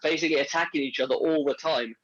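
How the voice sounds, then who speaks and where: A man's voice: fairly high pitch, fairly even intonation, fast, fairly bright tone, no echo, lo-fi sound. A man chatting casually about health supplements, rambling with many restarts and fillers. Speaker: podcast guest on men's health. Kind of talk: casual conversation.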